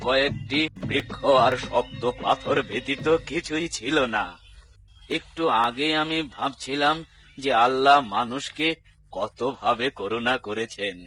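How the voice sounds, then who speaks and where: A man's voice: mid-range voice, measured pace, sharp tone, faint music behind, low-quality recording. A man voicing a pious master builder. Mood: reverent. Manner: humble, reverent.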